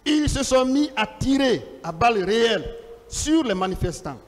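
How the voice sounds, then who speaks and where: A man speaking as a lawyer in a formal hearing, reading testimony aloud and putting questions in a deliberate, declamatory way with pauses between short phrases. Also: extremely high pitch, very animated. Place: large courtroom. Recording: reverberant large courtroom, long echo.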